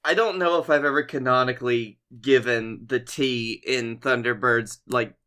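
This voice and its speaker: Bright-voiced man, casual comedy podcast co-host. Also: medium pitch, fairly even intonation, normal speed, clean tone. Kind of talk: casual comedic brainstorming chat.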